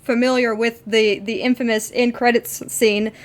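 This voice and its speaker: A woman's voice: fairly high pitch, flat delivery, normal speed. A woman co-hosting a podcast, chatting casually and enthusiastically as a fan. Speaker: podcast co-host.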